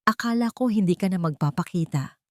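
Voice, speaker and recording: A woman's voice: fairly low pitch, expressive, brisk, no echo, lightly acted. A woman narrator voicing a bitter, vengeful older woman seeking justice in a story. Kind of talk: dramatic storybook dialogue.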